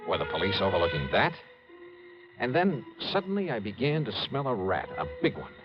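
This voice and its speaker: A man's voice: on the low side, wildly swooping pitch, fairly quick. A man playing a suspicious, insistent American insurance investigator in a 1950s radio detective drama, clipped and pressing. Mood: brooding, suspicious. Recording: dull vintage radio recording with music.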